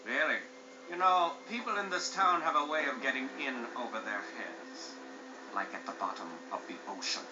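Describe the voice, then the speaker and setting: A man's voice: medium pitch, moderate pace, heavy background noise, natural voice. A man playing a mocking, menacing underworld thug in a video game, drawling his veiled threats with sarcasm. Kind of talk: video game villain acting. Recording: dull, roomy game audio under loud music.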